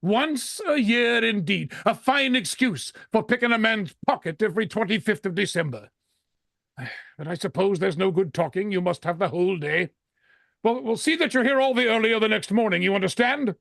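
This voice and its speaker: A man's voice: high-pitched, lively intonation, moderate pace, creaky, a bit bright, no echo, studio quality, full character voice. A man playing a grumpy, bullying old miser in an old-time radio drama, barking and grumbling, easily rattled into stammering. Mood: irritable, scornful.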